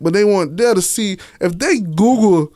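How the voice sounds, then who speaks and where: A man's voice: high-pitched, expressive, normal speed, darkish tone, dry recording, clean studio sound. A man chatting casually and candidly as an interview guest, in slangy, profane language and repeating himself as he tells his story. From Miami, United States. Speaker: interview guest, author and stage play director.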